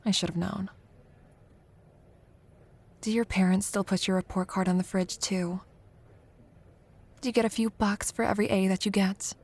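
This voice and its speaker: A young woman playing a competitive college student in an intimate audio roleplay, a teasing, prickly but secretly fond rival who talks haltingly. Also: mid-range voice, steady tone, darkish tone, close to an everyday voice. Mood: reluctantly sincere. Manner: teasing, halting, flustered deflection.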